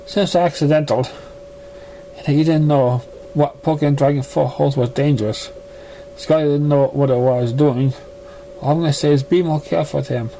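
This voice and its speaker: Guy as a concerned young pony in a story, earnest, halting, slightly high voice, some noise. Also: steady tone, dark tone, natural voice, poor audio.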